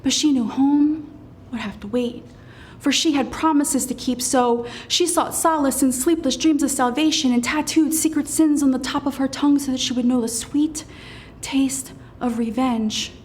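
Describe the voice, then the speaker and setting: A woman performing spoken-word poetry as a poet, in a solemn, deliberate manner with weighted pauses and dramatic delivery. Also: high-pitched, darkish tone, slight background noise.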